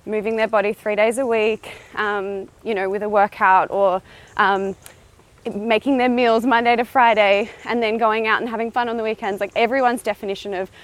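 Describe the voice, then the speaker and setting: A woman's voice: medium pitch, steady tone, moderate pace. A woman, an entrepreneur answering as a guest in a casual interview, earnest and chatty and full of "like" and "kind of", while walking outdoors in a park.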